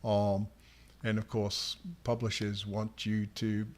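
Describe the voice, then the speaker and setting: A man co-hosting as an author, explaining things to readers in a casual, halting way. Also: lowish voice, level tone. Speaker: author and livestream co-host.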